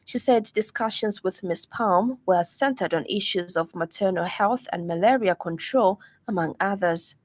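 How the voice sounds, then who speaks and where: A woman news reader with a Zambian accent presents a radio bulletin. Her delivery is formal and measured, at a medium pitch, following a script closely.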